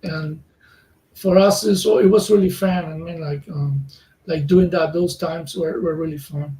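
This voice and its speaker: A man from Cuba, a native Spanish speaker, answering interview questions in English with many pauses and fillers as he searches for words. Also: fairly high pitch, fairly even intonation, dark tone, echoey room, poor audio. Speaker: magazine editor, interview guest. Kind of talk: casual interview.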